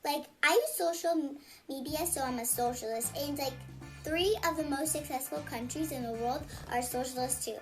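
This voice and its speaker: High-pitched girl in a parody clip. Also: normal speed, poor audio. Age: child. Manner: valley-girl "like" delivery, deadpan. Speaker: child in a parody video. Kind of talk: scripted comedy parody. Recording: video played with music under it.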